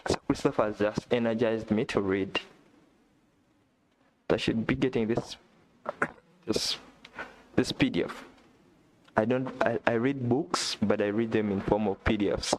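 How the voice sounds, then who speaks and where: Excitable low-voiced man live-streaming. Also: a little slow, long pauses, slight background noise, poor audio. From Uganda. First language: not English. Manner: excitable, repeats himself, talks straight to a viewer. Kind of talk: casual live-stream chat. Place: indoor room.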